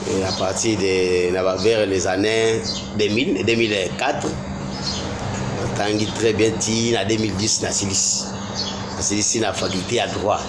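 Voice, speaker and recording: A Congolese lawyer being interviewed, speaking in a measured, hesitant way with many fillers. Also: male voice, on the low side. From Congo (Kasai Central). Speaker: lawyer guest. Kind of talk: interview answers. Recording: clear background noise.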